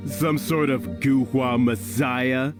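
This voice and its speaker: A man's voice: mid-range voice, steady tone, slow, close to an everyday voice. A man voicing a mocking, menacing thug in a video game, drawling out his taunts. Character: sneering, mocking male thug bullying a trader. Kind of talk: animated video game voice acting. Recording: background music under the voice.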